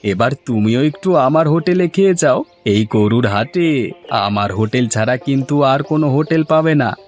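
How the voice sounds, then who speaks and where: A man playing an excitable, cheerful village husband and food seller in a cartoon folk tale, with exclamatory, folksy speech. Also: medium pitch, moderate pace, soft background music, lightly acted. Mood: jovial. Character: cheerful, excitable village husband turned rice-hotel owner.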